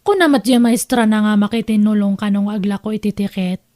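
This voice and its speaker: A woman's voice: mid-range voice, steady tone, a little fast, warm tone, no echo, studio quality, lightly acted. A woman with a Filipino accent playing a struggling, patient wife and mother in an audio drama. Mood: earnest, patient. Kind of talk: Ilocano audio drama acting.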